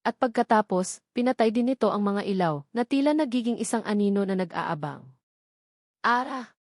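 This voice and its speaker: A woman narrates a scripted story dramatically, in short, measured, suspenseful phrases. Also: medium pitch, animated, a little mellow, no echo, studio quality.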